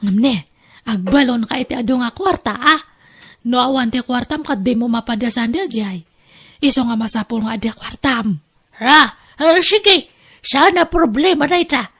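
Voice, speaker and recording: A woman playing a pushy, money-minded friend in a family radio drama, coaxing and bossing with drawn-out words. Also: a little high, fairly bright tone, husky. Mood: pushy, teasing.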